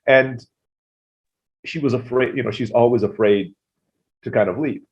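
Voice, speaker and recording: A man with an American accent, a podcast guest telling stories casually with lots of quick asides. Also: mid-range voice. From Philippines-born, raised in Turkey. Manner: rapid, chatty storyteller with asides. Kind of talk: casual interview storytelling. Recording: dull lo-fi audio.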